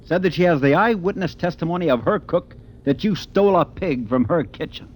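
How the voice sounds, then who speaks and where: An actor playing a wry, unhurried cop who is smugly firm and taunting in a 1940s radio drama. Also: male voice, fairly high pitch, lively intonation, natural voice. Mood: wry, matter-of-fact. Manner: wry, taunting, matter-of-fact.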